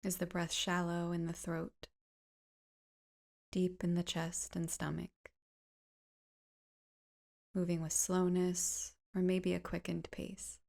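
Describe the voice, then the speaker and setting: A woman guides a meditation in a fairly low, soft voice with a creaky edge. She speaks very slowly and gently, leaving space between phrases and letting their ends fade into breathiness. The delivery is soothing and unhurried. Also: completely flat delivery.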